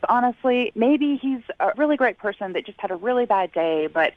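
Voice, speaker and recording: A woman's voice: fairly high pitch, expressive, brisk, dry recording. A woman speaking candidly as a guest over the phone, tactful and hesitant, hedging as she recounts things. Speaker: phone-in guest on a radio segment. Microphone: phone call. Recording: muffled, band-limited phone line.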